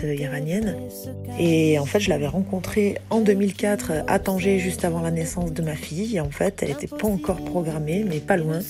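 Rambling low-voiced woman over music. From France. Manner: rambling, many hesitations. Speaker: singer-songwriter. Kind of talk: casual spoken commentary over music clips. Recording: music playing under the voice.

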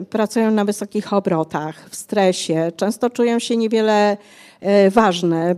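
Older female author, mellow and unhurried, fills pauses often while explaining. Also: medium pitch, clear, resonant tone. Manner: hesitant, many fillers, explanatory. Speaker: author guest. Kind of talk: conversational interview. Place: bookshop cafe stage, indoors. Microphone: handheld mic.

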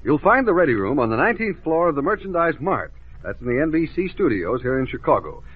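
An American man delivers brisk, inviting announcer patter for a vintage children's radio show. He talks quickly with a bouncy, animated pitch. The old recording is muffled and narrow as a telephone. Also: husky. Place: broadcast studio. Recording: muffled, band-limited 1950s radio recording.

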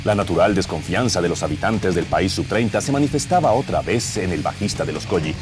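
Low-voiced Colombian man narrating suspensefully. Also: expressive, a little fast, over music. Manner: mock-epic, suspenseful. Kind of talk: dramatic scripted radio-serial narration. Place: dark radio studio. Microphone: close broadcast microphone.

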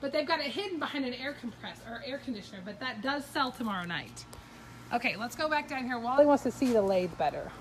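A woman's voice: a little high, lively intonation, fast, a little hiss or hum. A young adult woman, hosting a casual, upbeat, teasing livestream walkthrough of auction items in a storage shed. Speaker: auction company host.

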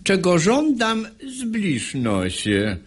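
A man's voice: high-pitched, wildly swooping pitch, extremely slow, slightly rough, full character voice. An actor playing a pompous, stubborn middle-aged father in a radio play of rhymed verse, with a domineering, emphatic delivery. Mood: coaxing.

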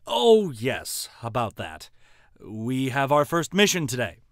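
A man's voice: mid-range voice, huge pitch swings, slow, no room sound, studio quality. A man acting an easily flustered, put-upon young teacher in an amateur anime-style drama. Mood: weary.

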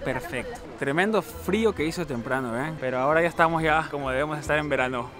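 A man's voice: a little high, quick pace, over music. A man in his thirties chatting casually while walking outdoors, camera held at arm's length, upbeat and laughing often. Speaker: travel vlogger. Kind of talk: casual travel vlog chat. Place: outdoors on busy city streets.

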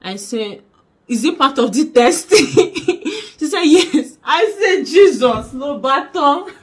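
A young woman with a Nigerian accent, telling a story to camera in a small bedroom in an animated, dramatic way. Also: high-pitched, slow pace. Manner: animated, dramatic, laughing, mixing in pidgin. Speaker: vlogger. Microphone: camera in front at desk distance.

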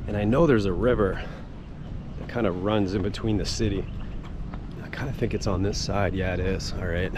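A man's voice: sits low, fairly even intonation, moderate pace, long pauses. A man in his forties chatting casually and loosely to an action camera held at arm's length while walking outdoors. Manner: chatty, upbeat, loose and rambling. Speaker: travel vlogger. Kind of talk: casual walk-and-talk vlog. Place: busy city streets and an empty riverside boulevard, outdoors, hot day. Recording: loud outdoor street noise.

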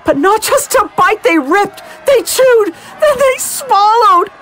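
A woman's voice: very high voice, lively intonation, takes it slowly, fairly bright tone, full character voice. A woman playing a veteran war correspondent who is losing her composure during a field report in an audio drama. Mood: mounting hysteria. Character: veteran female war correspondent unraveling into madness.

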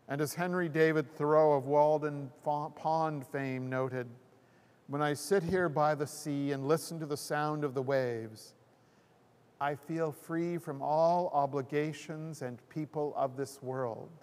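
Older man, church sermon, unhurried reverent delivery, long reverb of a hall, lectern mic. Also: fairly high pitch, fairly even intonation, a little mellow, smooth. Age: 60s or older. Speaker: pastor. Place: indoor church, at a pulpit. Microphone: gooseneck lectern microphone.

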